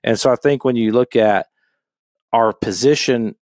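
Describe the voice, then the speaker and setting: A man's voice: mid-range voice, normal speed, a little mellow, dry recording. A middle-aged man co-hosting a casual theological podcast chat, earnest and repeating phrases for emphasis, speaking close to the mic. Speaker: podcast co-host. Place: small home office with bookshelves. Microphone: close studio microphone, headset monitoring.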